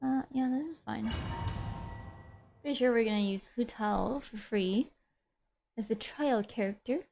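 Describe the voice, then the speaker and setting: A woman's voice: on the high side, measured pace, vocal fry, warm tone. A woman doing casual live gameplay commentary, muttering to herself with mild exclamations, with music behind her. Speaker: game streamer. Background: video game music and sounds.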